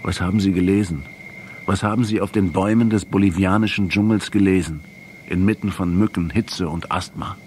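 An actor in a radio play playing a probing male essayist who speaks in a deliberate, insistent way. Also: deep voice, steady tone, a little mellow, slight background noise, natural voice. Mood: reflective. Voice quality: husky. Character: probing, measured male essayist from Buenos Aires.

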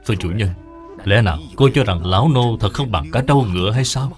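A man's voice: on the low side, animated, fairly quick, faint music behind. A man voicing a loyal old male servant in a cartoon, deferential and earnest. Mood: earnest, resolute.

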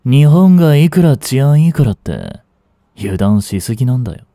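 A man acting as a cynical, aloof young street delinquent in a voice drama, with rough, casual, lazily teasing speech, close to the mic. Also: mid-range voice, big pitch swings, moderate pace, warm tone, dry recording. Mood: cool scolding. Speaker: voice actor. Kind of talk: Japanese voice drama aimed at women, one-sided dialogue. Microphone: close studio mic. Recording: dry close-miked studio recording.

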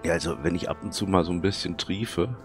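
A man with a cold and a hoarse, thick voice, casually commenting on his gameplay and muttering to himself as he plays. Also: low-pitched, brisk, over music. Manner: chatty, muttering to himself while playing. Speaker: let's play commentator.

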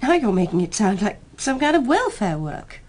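An actress playing a wary, sharp-witted village wife in a British radio drama, questioning pointedly in a British accent. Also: female voice, medium pitch, big pitch swings, moderate pace, a little hiss or hum, lightly acted. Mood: sceptical.

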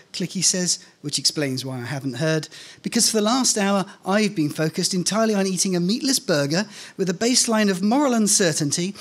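A middle-aged man reading a scripted first-person story aloud on stage into a stand microphone. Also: high-pitched. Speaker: reader of a short story at a live event. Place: indoor stage at a live reading event. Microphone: microphone on a stand, close in front.

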